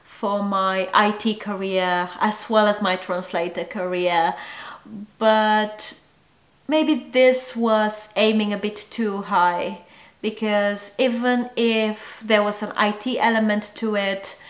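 Young woman, unhurried reflective vlog delivery, echoey home room. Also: mid-range voice, fairly even intonation. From Bulgaria. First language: Bulgarian. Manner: measured, thoughtful, with frequent ums. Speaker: translator vlogger. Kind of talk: talking to camera about her work. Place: small indoor room at home. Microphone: camera in front, upper-body framing. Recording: roomy echo.

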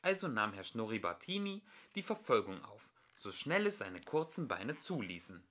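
A man reads a children's story aloud as an amateur narrator. His voice is bright and forward, and his pitch moves in a lively, expressive way through the sentences. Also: moderate pace. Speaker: amateur audiobook reader.

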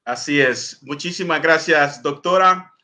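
Bright, high-voiced male host reading comments. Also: measured pace. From Honduras. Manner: courteous, reads comments aloud. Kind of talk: interview, reading viewer messages. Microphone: video call.

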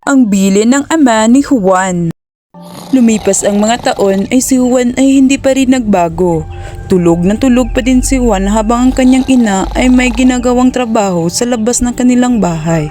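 A woman narrating a cartoon storybook in a plain, steady way. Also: on the high side, moderate pace, soft background music.

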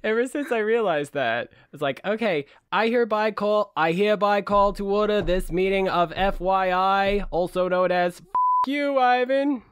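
A man's voice: high-pitched, moderate pace, clean tone, no echo, studio quality. A man co-hosting a book-club podcast in casual, joking chat. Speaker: podcast co-host.

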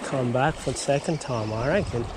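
A man's voice: mid-range voice, normal speed. Man fishing off a breakwall. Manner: excited bursts and whoops when a fish bites. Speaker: fishing vlogger. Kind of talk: casual running commentary while fishing. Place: outdoors on a rocky breakwall by the sea. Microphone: head-mounted action camera. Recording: outdoor wind and water noise.

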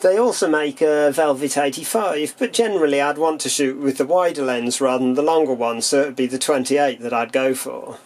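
A man calmly and methodically explaining how camera lenses work, in a demonstration voice-over. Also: mid-range voice, fairly quick, few pauses. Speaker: camera lens reviewer.

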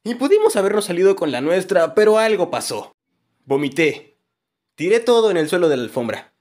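A man tells comic stories as the narrator of an animated video. His voice sits high for a man and swings widely in pitch. The delivery is expressive and playfully exaggerated. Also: brisk. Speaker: narrator of a fan-dubbed animated story video. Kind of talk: animated comedic storytelling narration.

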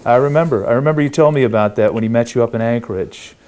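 A man's voice: mid-range voice, fast, a little mellow, a little hiss or hum, lightly acted. Man playing blunt middle-aged politician on phone. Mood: blunt, gossipy. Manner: blunt, casual, conversational. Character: blunt, profane middle-aged state politician talking backroom deals. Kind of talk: dramatized phone call from a transcript. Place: small kitchen, indoors.

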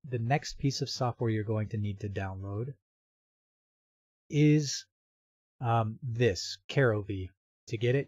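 A man walks through software setup step by step in an informal, explanatory manner. His voice is mellow and sits at a middling pitch. The recording is dry and somewhat dull, as from a close voice-over microphone. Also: dry recording. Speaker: software tutorial presenter. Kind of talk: step-by-step screen tutorial narration.

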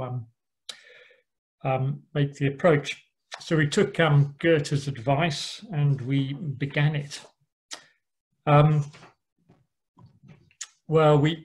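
A middle-aged man giving a relaxed slide talk about a sailing voyage, with frequent ums and dry asides. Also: on the high side, poor audio. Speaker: yacht club speaker. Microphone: online call microphone.

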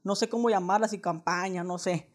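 A man's voice: high voice, steady tone, a little fast. A young man with a Mexican accent making a casual prank phone call, talking in a slangy, wheedling, mock-earnest way. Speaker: prank caller. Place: indoors, small living room.

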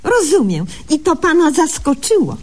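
A brisk, self-important young female doctor in a comic radio sketch. Her high voice swings widely as she recounts her diary in mangled, mock-literary phrases. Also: very animated, darkish tone. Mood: inquisitive. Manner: mock-literary, deliberately garbled diction. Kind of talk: humorous radio sketch acting.

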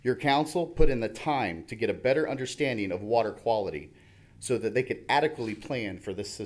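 A man's voice: mid-range voice, a little mellow. A middle-aged man reading a prepared public comment at a podium microphone in a council chamber. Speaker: public commenter, plumbing instructor. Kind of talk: prepared public statement read aloud. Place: large indoor council chamber. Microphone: podium gooseneck microphone.

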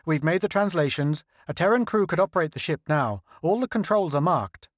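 A man's voice: fairly high pitch, animated, a little fast, dry recording, clean studio sound, pitched above the performer's own voice, close to an everyday voice. A man voicing a fussy male scientist who explains technical details in a story reading. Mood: explaining. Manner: explanatory, lecturing.

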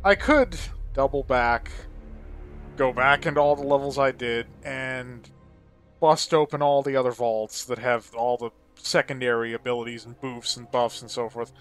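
A man's voice: medium pitch, fairly even intonation, moderate pace. A man giving casual, opinionated gameplay commentary in a rambling way, with some swearing. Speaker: let's play video creator. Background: game soundtrack music behind the voice.

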